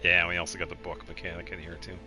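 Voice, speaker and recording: A man giving casual video game commentary as he guides another player, explaining things in a halting, meandering way. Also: low-pitched, little pitch movement, over music.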